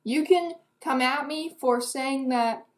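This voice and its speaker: A woman's voice: sits high, slow pace. An eighteen-year-old woman giving a casual, rambling book review to camera in a candid, self-deprecating, hesitant way.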